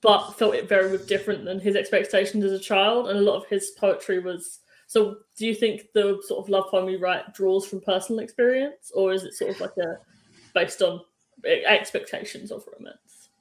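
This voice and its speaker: A woman's voice: medium pitch, fairly even intonation, normal speed, reverberant, poor audio. A woman hosting an interview, asking long rambling questions full of "like" and "sort of". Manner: chatty, rambling questions with many fillers. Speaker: podcast interviewer. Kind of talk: casual interview chat.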